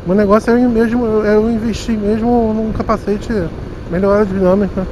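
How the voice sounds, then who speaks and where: A high-pitched man with a Rio de Janeiro accent talks to his viewers through a helmet mic as he rides a motorcycle through city traffic. He is chatty and mocks himself. Faint wind and road noise run under his voice. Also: little pitch movement, moderate pace. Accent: Rio de Janeiro Portuguese. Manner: chatty, self-mocking, talks to viewers directly. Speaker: motovlogger. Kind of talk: casual running commentary while riding.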